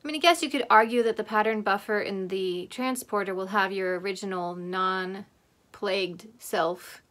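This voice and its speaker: A woman's voice: medium pitch, normal speed, fairly bright tone. A woman in her thirties reacting casually to a show, commenting as she watches. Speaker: host reacting to a TV episode. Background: soundtrack of the episode.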